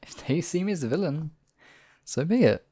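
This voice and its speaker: A man's voice: fairly high pitch, animated, moderate pace, dark tone, breathy edge. A man voicing a devoted demon king in an intimate ASMR roleplay, speaking in a courtly, tender and teasing way. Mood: defiant, devoted. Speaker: voice actor in audio roleplay. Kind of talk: ASMR romantic roleplay acting.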